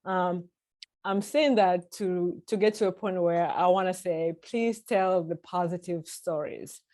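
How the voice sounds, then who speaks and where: A woman from Tanzania speaks on a webinar panel over a video call, in a slightly low voice. She talks earnestly, often pausing on ums and restarting her phrases. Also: warm tone. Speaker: church policy panelist.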